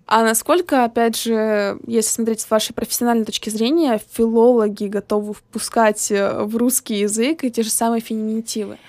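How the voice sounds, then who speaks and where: A woman's voice: on the high side, fairly even intonation, a little fast, hardly any pauses, darkish tone, no echo. A young woman hosting a podcast interview, asking questions in a chatty way and laughing easily. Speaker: podcast host.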